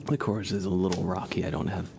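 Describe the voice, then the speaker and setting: Soft hushed guy on a chill livestream, guitar going, easygoing and kinda musing. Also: sits low, little pitch movement, fast talker, vocal fry. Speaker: livestream host and ASMR streamer. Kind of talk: soft casual livestream chat between songs.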